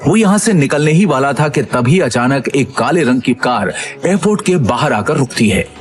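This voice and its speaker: A man's voice: mid-range voice, animated, moderate pace, faint music behind. A man narrating a Hindi audio drama in a dramatic, scripted storytelling style.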